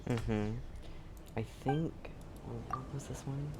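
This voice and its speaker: A woman's voice: a bass voice, slow, dark tone, slight background noise. A woman streaming a game, giving casual commentary with dry, joking interjections. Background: faint game music.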